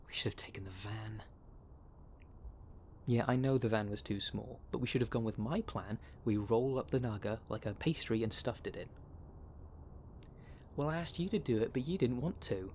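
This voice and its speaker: A man's voice: mid-range voice, moderate pace, pauses often, darkish tone, a little hiss or hum, close to an everyday voice. A man playing a rattled, petulant truck driver and small-time crook in an audio roleplay, complaining defensively. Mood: petulant grumbling.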